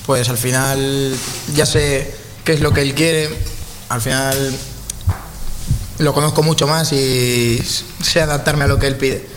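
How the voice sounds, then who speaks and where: A man's voice: medium pitch, fairly even intonation, unhurried, fairly bright tone. A man from Galicia, a football player, chatting in an easygoing way. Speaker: footballer guest. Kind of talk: casual chat. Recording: long reverb with clear background noise.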